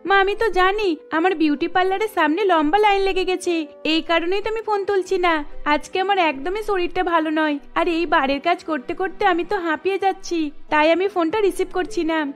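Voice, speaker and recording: Woman playing a high-voiced young cartoon daughter-in-law. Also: fairly quick, faint music behind. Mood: weary. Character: weary, wry young daughter-in-law running a beauty parlour.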